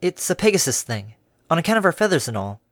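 A man's voice: on the high side, sing-song, fast, no room sound, clean studio sound, pitched above the performer's own voice. A man voicing a secretive time-traveling pegasus mare who speaks politely and evasively. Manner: polite, evasive, nervous chuckles.

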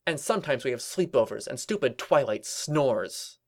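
Reader doing a haughty girl pony from a cartoon, smug and dramatic, medium pitch. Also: lively intonation, normal speed, natural voice. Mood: lamenting. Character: haughty, smug female cartoon pony speaking in third person.

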